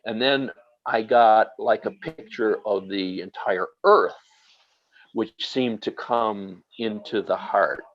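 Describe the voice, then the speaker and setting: A man recounts a personal experience at a very slow, halting pace, filling his pauses with hesitations. His voice sits fairly low, and the recording sounds dull and lo-fi. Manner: slow, halting, with many fillers. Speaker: guest.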